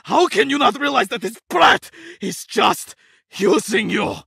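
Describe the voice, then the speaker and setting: Bitter, raspy, gravelly undead monster. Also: medium pitch, animated, unhurried, no echo, clean studio sound, heavily acted. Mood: scornful, bitter. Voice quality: harsh gravelly rasp. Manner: dramatic, swinging from growled menace to shouting. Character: bitter, vengeful horned undead monster, formerly human. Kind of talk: video game mod cutscene acting.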